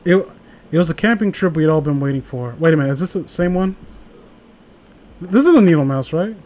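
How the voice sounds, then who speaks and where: Man, higher voice, casual read-aloud host, sarcastic, sweary, cuts in with his own asides. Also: expressive, a little fast, darkish tone. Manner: sarcastic, profane, interrupts own reading with asides. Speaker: host reading a horror story aloud with a friend.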